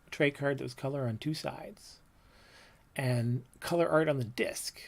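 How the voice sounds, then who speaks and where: A man in his fifties with a low, soft voice, reminiscing casually on a livestream about his old art, with many ums and trailing thoughts. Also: a little slow, gravelly. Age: 50s. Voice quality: low, soft voice that doesn't project. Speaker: comics artist showing his old work.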